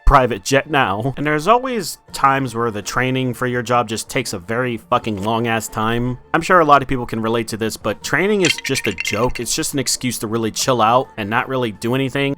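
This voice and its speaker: A man with a monotone voice giving casual, sardonic, profane opinion commentary to viewers in a deadpan way. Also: mid-range voice. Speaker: video creator. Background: background music.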